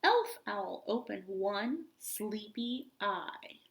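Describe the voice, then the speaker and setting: A woman reading a children's story aloud like a teacher, with deliberate, expressive delivery. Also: mid-range voice, noisy background.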